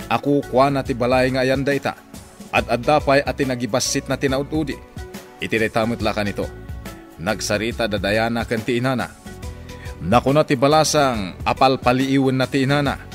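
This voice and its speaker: A man's voice: mid-range voice, moderate pace. A man with an Ilocano accent narrating a radio drama in a dramatic scripted style. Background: music.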